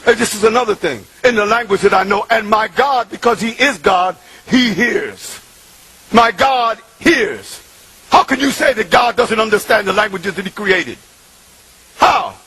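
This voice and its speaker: A man, preaching an impassioned sermon that swings from loud shouting to hushed murmurs. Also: sits high, animated, unhurried, breathy edge, rough recording. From Bronx, New York.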